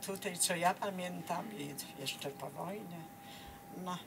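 A woman's voice: lowish voice, slow, gravelly, over music. An older woman from Poland, a local resident reminiscing in casual conversation. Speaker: local resident sharing memories. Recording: noisy background with ambient sound.

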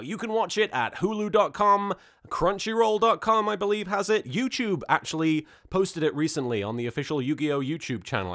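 A man's voice: a little high, a bit bright. A man in his thirties giving a playful, sarcastic comedy recap to camera in a theatrical manner. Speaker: host of an episode recap and reaction series.

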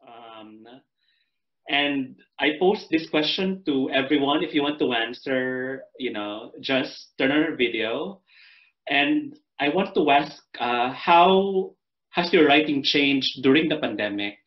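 A man hosting a poetry reading panel on a video call, asking questions gently with many pauses and fillers. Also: medium pitch, lively intonation. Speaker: panel host and anthology editor. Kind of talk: moderating a reading. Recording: muffled video call audio.

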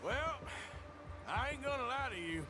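A menacing outlaw gunslinger, speaks in a gruff American drawl. His video game lines sit under loud music, with a fairly high pitch for a man. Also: expressive, unhurried. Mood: coldly menacing. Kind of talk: video game character acting.

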